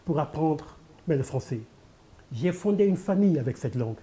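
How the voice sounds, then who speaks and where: Dark-voiced older man, emphatic. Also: on the high side, expressive, normal speed. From Senegal. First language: Pulaar. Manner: emphatic, repeats key phrases, rhetorical. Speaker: poet and candidate guest. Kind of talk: televised interview.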